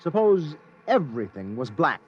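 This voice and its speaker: An actor playing a persistent newspaper reporter in a 1950s radio drama, polite, probing and questioning. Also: male voice, a little high, big pitch swings, normal speed. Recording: muffled old radio recording, faint noise.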